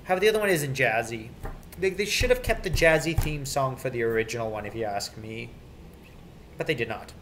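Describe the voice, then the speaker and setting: A man's voice: medium pitch, expressive, fairly quick, a bit bright. A man rambling casually and opinionatedly in an off-the-cuff video rant, often repeating himself. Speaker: video creator. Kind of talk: casual off-the-cuff rant about children's TV theme songs. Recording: some background noise, amateur home recording.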